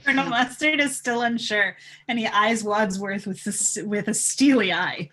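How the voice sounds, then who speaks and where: A woman's voice: mid-range voice, moderate pace, fairly bright tone, low-quality recording. A woman reading stage directions as scripted narration at a table read.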